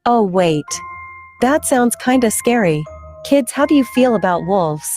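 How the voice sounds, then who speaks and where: A woman's voice: a little high, animated, unhurried, dark tone, faint music behind. A woman hosting story time for children, playful and chatty, putting questions to her young listeners.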